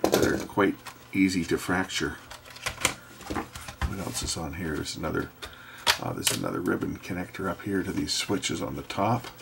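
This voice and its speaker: A man giving casual hands-on repair commentary, thinking aloud and hesitating as he works, with a gravelly voice. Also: deep voice. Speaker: host repairing electronics. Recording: background noise with handling clicks.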